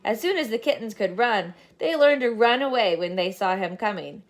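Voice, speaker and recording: A woman reading a children's storybook aloud as scripted, expressive narration. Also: mid-range voice, normal speed. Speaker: storybook reader.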